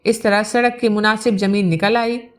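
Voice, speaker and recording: A woman's voice: mid-range voice, steady tone, normal speed, faint music behind. A woman narrating a village novel aloud as a storyteller, reading from a script.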